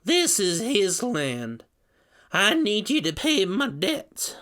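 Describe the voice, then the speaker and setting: Slow, menacing old backwoods man. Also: high-pitched, very animated, sharp tone, pitched above the performer's own voice, exaggerated voice. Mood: grim. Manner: slow, drawling, menacing mumble. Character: sinister old backwoods captor, cold and muttering. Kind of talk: storybook character voice in horror narration.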